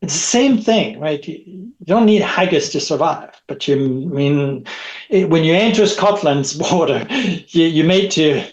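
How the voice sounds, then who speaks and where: A man's voice: a little high, expressive, a little slow, dull audio. Middle-aged French-native man, rambling guest. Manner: rambling, repeats words, frequent rhetorical "right?". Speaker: guest, technology expert. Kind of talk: conversational podcast interview. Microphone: video call.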